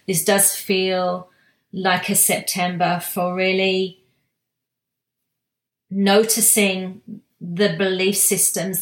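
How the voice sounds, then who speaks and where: A woman giving a slow, reflective spiritual talk, soft-spoken, with long pauses and restarts. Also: lowish voice, roomy sound. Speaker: spiritual guide sharing monthly energy reading.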